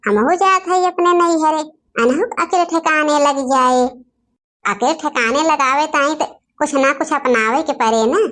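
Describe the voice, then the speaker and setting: A woman voicing grumbling, gossipy village sisters-in-law in a cartoon, speaking with an Awadhi accent and a drawn-out delivery. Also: high voice, fairly bright tone. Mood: complaining. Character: scheming, complaining village women, sisters-in-law in family squabble. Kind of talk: cartoon dialogue in rural dialect.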